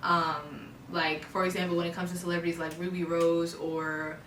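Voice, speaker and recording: A frank young woman from Baltimore speaks bluntly, swearing freely and filling her talk with "like" and "um." Her voice is bright with a slightly low pitch. She sits a little back from the microphone in a noticeably echoey room. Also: little pitch movement, normal speed, slight background noise. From Baltimore, USA. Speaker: interview guest. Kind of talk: casual interview chat. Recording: echoey room, voice a bit far from the mic.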